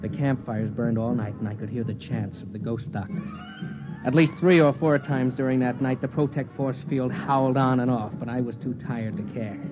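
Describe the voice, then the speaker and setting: An actor playing a nervous, exasperated space explorer in a 1950s radio drama, with wry narration and dialogue. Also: male voice, mid-range voice, fairly even intonation, normal speed, loud music behind the voice, natural voice. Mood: wry, weary. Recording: dull vintage radio recording.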